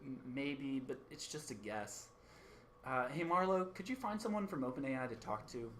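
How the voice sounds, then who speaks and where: A man's voice: mid-range voice, animated, moderate pace, a bit bright, a little hiss or hum, natural voice. A man playing an earnest, suspicious radio co-host in a radio play, somewhat hesitant. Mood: measured, tentative.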